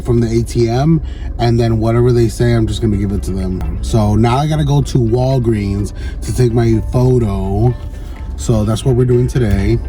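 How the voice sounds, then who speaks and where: A man vlogging in a casual, rambling, joking way, his voice a little raspy and tired as he gets over an illness. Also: mid-range voice, dark tone. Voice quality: slightly raspy. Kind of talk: casual vlog chat. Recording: background music.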